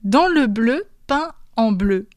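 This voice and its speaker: A woman's voice: a little high, animated, slow pace, no echo. A woman presenting music on the radio in a playful, teasing way, laughing often as she chats. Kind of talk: lively radio music chat. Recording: dry studio sound.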